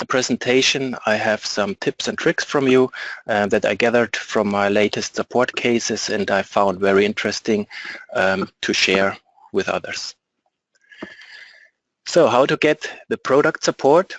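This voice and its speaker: A man's voice: medium pitch, a bit bright, no room sound, low-quality recording. A man with a German accent, a product support specialist presenting a webinar from an office, speaking hesitantly with many ums and uhs.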